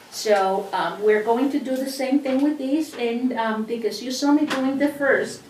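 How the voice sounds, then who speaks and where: A woman's voice: on the high side, recorded from a distance. A middle-aged woman with a Cuban accent walks through a sewing demonstration, chatty and prone to restarting her sentences. She sits back from the microphone in an echoey room, with a faint hum of ambience behind her. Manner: chatty, explains step by step with many restarts. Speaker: sewing instructor. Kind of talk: hands-on teaching demonstration.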